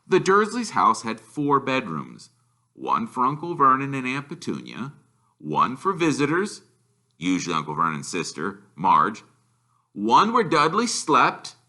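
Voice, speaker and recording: A man narrates a children's novel with very bright, forceful delivery. His pitch swings in a lively way, and he takes an unhurried, deliberate pace as he tells the story. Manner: animated, deliberate. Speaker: reader, storyteller.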